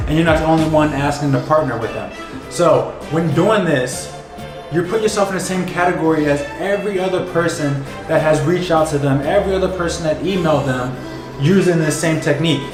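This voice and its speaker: A young man with a stuffy, cold-blocked voice, pitched a little high, teaches at a whiteboard in a bright office. His delivery is direct and energetic, and he keeps checking in with the viewer. The room echoes, and music plays clearly behind him. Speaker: business coach. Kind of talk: whiteboard tutorial.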